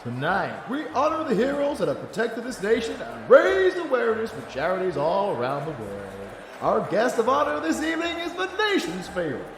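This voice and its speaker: Casting: melodramatic American award-show host, showy and huffy, hugely animated, ringing through an echoey hall. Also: male voice, high-pitched, brisk, hardly any pauses, noisy background, voice pushed up, exaggerated voice. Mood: showy, excited. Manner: gushing, melodramatic. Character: flamboyant, easily offended American gala host. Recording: echoey hall reverb, music behind.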